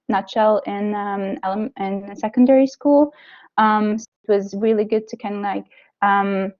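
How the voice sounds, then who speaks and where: A woman's voice: medium pitch, dull audio. A young woman university student, not a native English speaker, talks informally about her courses, hesitating often with ums and restarts. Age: young adult. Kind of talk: informal talk on course choices.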